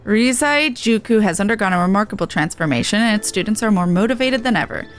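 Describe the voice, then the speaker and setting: Animated, courteous fantasy quest-giver read by a woman. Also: mid-range voice, quick pace, faint music behind, natural voice. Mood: formal, narrating. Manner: polite, formal, expository. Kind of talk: video game dialogue read aloud.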